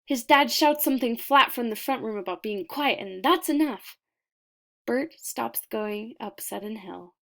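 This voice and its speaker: A woman reading a children's picture book aloud expressively, deliberate in her delivery, with dramatic pauses and emphasis. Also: on the high side, lively intonation, gravelly.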